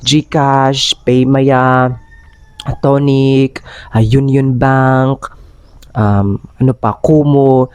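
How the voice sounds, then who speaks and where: A young Filipino man chats to his channel in a hoarse voice, worn rough by a cold. His pitch sits in a middling range for a man, and he drifts between English and Tagalog with plenty of fillers. The sound is dry and close, with no room echo. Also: flat delivery, very slow. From Philippines. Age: young adult. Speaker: vlogger and part-time ESL tutor. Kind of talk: casual vlog talk.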